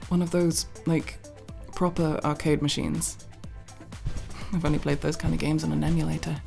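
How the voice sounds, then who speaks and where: Low, halting woman acting a tomboyish young friend. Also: vocal fry, a little mellow. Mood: awkward, casual. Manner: halting, hesitant, mumbly casual delivery with trailing pauses. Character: awkward tomboyish young woman, tsundere friend, tired and fond. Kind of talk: intimate ASMR roleplay acting.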